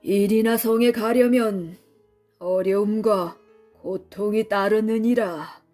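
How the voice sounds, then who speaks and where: A woman voicing a stern but loving king in a storybook, with slow, weighty, old-fashioned royal speech. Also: mid-range voice, steady tone, dark tone, faint music behind, lightly acted. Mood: grave, fatherly.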